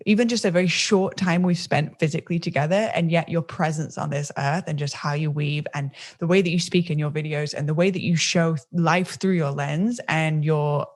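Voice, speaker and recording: A woman hosting a podcast over a video call, talking in a gushing, dreamy way. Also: lowish voice. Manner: gushing, dreamy, with playful put-on voices. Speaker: podcast host.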